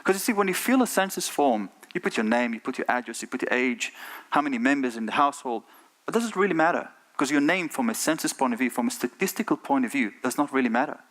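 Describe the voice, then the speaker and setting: An Israeli-accented man of middle age speaks from a conference stage at an ordinary pitch. He talks earnestly and keeps putting rhetorical questions to the audience. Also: expressive, fast talker, fairly bright tone. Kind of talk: keynote talk.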